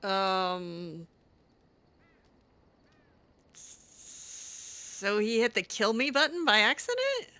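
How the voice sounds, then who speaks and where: A woman playing a friendly, flattering elf mage in improvised game roleplay, with an informal, hesitant, coaxing manner. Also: medium pitch, very slow, pauses often, dry recording, heavily acted. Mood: sympathetic.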